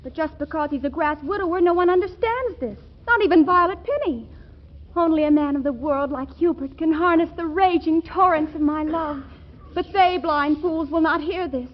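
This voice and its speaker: A woman's voice: very high-pitched, moderate pace, dull audio. An actress playing a melodramatic, lovesick sixteen-year-old girl with grand theatrical delivery in a 1940s radio comedy. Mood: lovesick, tragic.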